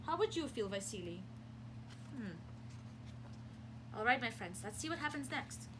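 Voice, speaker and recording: A woman reading a picture book aloud to young children as a preschool teacher, expressively, pausing to explain simply and ask questions. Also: on the high side, noisy background. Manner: expressive, asks questions and pauses for answers.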